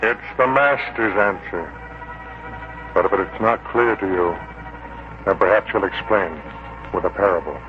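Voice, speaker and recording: A man's voice: deep voice, measured pace, long pauses, music in the background. An actor playing a loyal disciple guarding his master, firm and blunt, in 1940s radio drama style. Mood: firm, calm. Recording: muffled, noisy 1940s radio recording.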